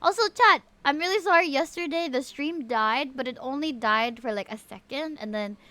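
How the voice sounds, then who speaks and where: A woman's voice: high voice, moderate pace, pushed and bright, dry recording. A woman, chatting casually and informally to viewers on a livestream. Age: 30s. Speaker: streamer and VTuber.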